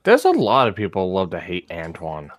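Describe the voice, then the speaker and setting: A man with a slightly raspy, froggy throat, chatting wryly and jokingly on a casual live stream. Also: deep voice, wildly swooping pitch, moderate pace, no echo. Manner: wry, joking. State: froggy throat that will not clear.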